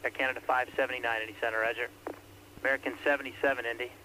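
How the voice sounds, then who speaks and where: A man's voice: mid-range voice, flat delivery, rapid-fire, bright, forceful tone, rough recording. A man working as an air traffic controller, calling aircraft in terse, clipped radio phrasing. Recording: archival radio recording with faint hiss.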